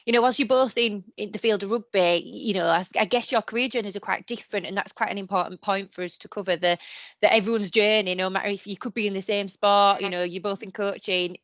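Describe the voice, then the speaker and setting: A woman's voice: mid-range voice, fairly even intonation, quick pace, fairly bright tone, no echo. A woman, a careers adviser, hosting a Q&A on a video call in a chatty, hedging way. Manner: chatty, hedging, many "you know"s.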